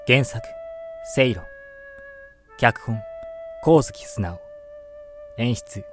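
A credits announcer reading out the end credits of a fan voice drama in a slow, formal manner. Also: medium pitch, expressive, soft background music.

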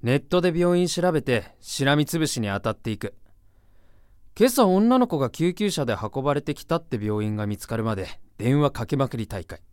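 A woman's voice: rumbling low, very animated, a little fast, a little mellow, dry recording, clean studio sound. A woman playing a blunt, grumpy but kind-hearted young man in an amateur voice drama. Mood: resigned, determined. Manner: rough, blunt masculine speech, exasperated retorts. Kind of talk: Japanese amateur voice drama acting.

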